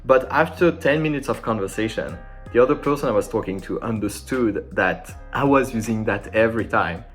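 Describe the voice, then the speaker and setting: A man speaking English as a second language, giving a casual, informal French lesson in an animated way. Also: fairly low pitch, fairly quick, soft background music. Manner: animated and informal, acting out sample dialogues.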